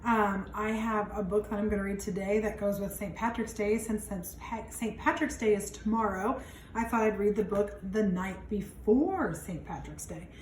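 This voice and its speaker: A woman teacher reading a children's storybook aloud, pausing to explain things simply to young listeners. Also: medium pitch. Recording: roomy, distant mic, faint background noise.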